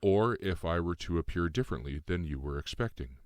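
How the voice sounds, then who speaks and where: A man voicing a calm, cryptic shape-shifting spirit guide in an audiobook, speaking in a measured, formal way. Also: sits low, no room sound, studio quality. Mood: composed, explaining. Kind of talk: audiobook character dialogue.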